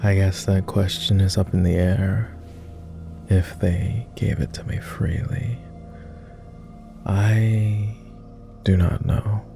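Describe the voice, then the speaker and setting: A man playing a weary, courteous immortal vampire in intimate ASMR roleplay, speaking softly in formal, hesitant phrases. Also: low-pitched, level tone, gravelly, music in the background, exaggerated voice. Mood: resigned, reserved. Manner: formal, measured, hesitant with pauses. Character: weary, reserved, self-loathing male vampire, immortal, courteous.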